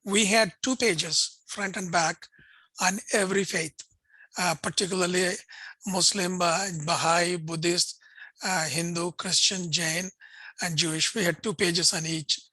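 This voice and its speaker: Bright-toned man. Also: sits high, fairly even intonation, no echo, rough recording. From India. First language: Urdu. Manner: earnest, halting with uh fillers. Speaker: guest. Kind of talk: conversational interview.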